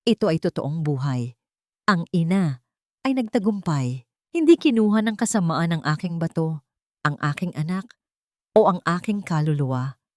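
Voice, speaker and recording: Filipino woman narrating, low-pitched and dry. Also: lively intonation, moderate pace, pauses often, a little mellow, no room sound. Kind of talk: scripted first-person audio drama narration.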